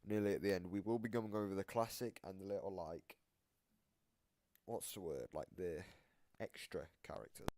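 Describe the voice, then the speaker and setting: Low, mellow man explaining casually. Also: normal speed. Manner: explanatory, interpreting lines aloud. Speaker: co-commentator. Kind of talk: casual analytical commentary.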